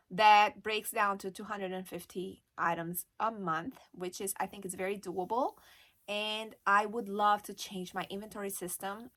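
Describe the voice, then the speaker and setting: A woman's voice: medium pitch, a bit bright. A woman from Brazil with a Brazilian Portuguese accent, chatting casually to camera as an online reseller, with many fillers and restarts. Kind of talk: casual vlog talk to camera.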